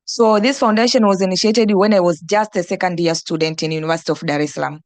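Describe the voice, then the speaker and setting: A woman's voice: on the low side, fast, a little mellow, no echo. A young woman from Tanzania, an NGO director and teacher, answering on a panel with many fillers and restarts.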